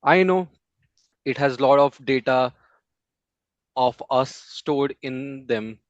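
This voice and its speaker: A man gives an impromptu club speech, slow and measured, pausing between phrases. His voice sits in a middling pitch. The sound is dry and a little dull, close to the mic. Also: dry recording. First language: Hindi. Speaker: table topics speaker.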